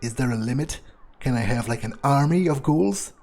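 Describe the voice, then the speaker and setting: A man's voice: medium pitch, lively intonation, moderate pace, warm tone. A man playing a smug, power-hungry vampire in improvised roleplay, musing and gloating in a hesitant way. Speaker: gamer playing in character.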